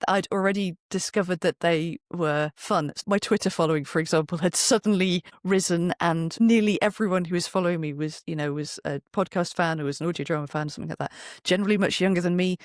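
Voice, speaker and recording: Androgynous guest voice, medium pitch, animated anecdotal chat, close dry booth sound. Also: fast talker, few pauses, dry recording. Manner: animated storytelling, acts out remembered remarks. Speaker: guest, voice actor and narrator. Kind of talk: casual anecdotal interview chat. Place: home recording booth.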